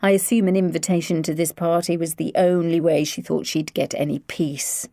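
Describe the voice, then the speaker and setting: A woman's voice: lowish voice, steady tone, fairly quick, dark tone, no room sound, lightly acted. A woman voicing a wry middle-aged father with dry, sardonic humour.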